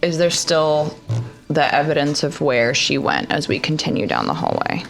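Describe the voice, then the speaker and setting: A woman's voice: low-pitched, huge pitch swings, normal speed, gravelly. A woman playing a tabletop roleplaying game, casually describing her character's actions and speaking in character.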